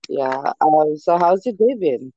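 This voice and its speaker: A young woman hosting a podcast, chatting casually and warmly with her guest, with fillers. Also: sits low, animated, unhurried, muffled recording. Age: young adult. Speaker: podcast host. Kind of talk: casual interview chat.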